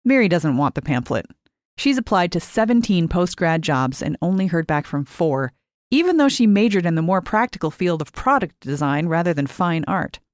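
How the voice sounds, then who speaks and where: A woman with a slightly low voice narrates fiction in a very dry recording. Her reading is expressive and scripted. She phrases deliberately, leaving dramatic pauses. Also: no room sound. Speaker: short fiction reader.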